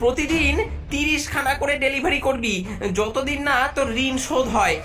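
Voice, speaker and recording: A woman's voice: high-pitched, moderate pace, fairly bright tone, soft background music. A woman voicing a greedy, scheming male ghost boss in a cartoon, with a domineering, scolding and gloating manner. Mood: scolding, bossy. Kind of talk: cartoon voice acting.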